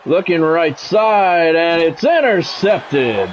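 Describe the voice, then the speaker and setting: Higher-pitched man calling a game. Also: lively intonation, slow pace, a little hiss or hum. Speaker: game streamer doing play-by-play. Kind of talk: sports commentary mixed with casual chat with viewers.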